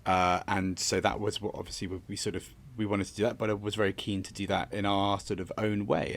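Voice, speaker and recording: Male, low-pitched voice; director reflecting in interview, halting delivery with repeated words and hedges. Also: a little hiss or hum. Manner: halting, often repeating words and hedging with "sort of". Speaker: theatre director being interviewed.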